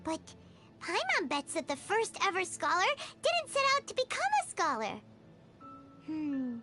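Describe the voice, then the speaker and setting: A woman voicing a tiny, excitable, food-loving female fairy companion in a video game, squeaky and childlike, bubbly and exclaiming. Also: a little slow, faint music behind, full character voice. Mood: pondering. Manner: speaks of self in third person, exclaiming, bubbly. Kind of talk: video game character dialogue.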